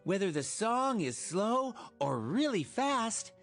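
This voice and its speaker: A woman's voice: medium pitch, big pitch swings, slow, soft background music. A woman voicing a cheerful cartoon child who teaches preschoolers in sing-song rhyme. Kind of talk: scripted rhyming children's game narration.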